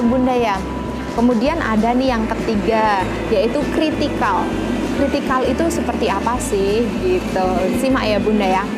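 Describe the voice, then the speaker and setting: A woman from Indonesia gives an informal parenting lesson to mothers in a conversational, question-and-answer manner. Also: on the high side. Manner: conversational, poses questions then answers them, frequent tag words seeking agreement. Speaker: parenting educator addressing mothers. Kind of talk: informal explanatory talk on parenting. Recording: loud music under the voice. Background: loud background music.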